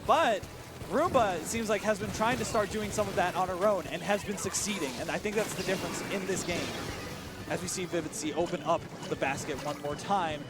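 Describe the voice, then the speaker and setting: A man casting a live esports match at a big venue, excitable and fast with his calls, his voice worn and hoarse from shouting. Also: high voice, over loud music. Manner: excitable, rapid play-by-play with exclamations. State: losing his voice. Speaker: esports commentator. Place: large tournament venue.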